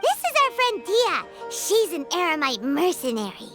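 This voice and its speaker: An extremely high, squeaky little fairy companion for a video game. She is cutesy and earnest, with swooping, childlike excitement in her delivery. Music plays behind her. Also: moderate pace. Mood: eager. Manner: squeaky, cutesy, refers to herself in third person.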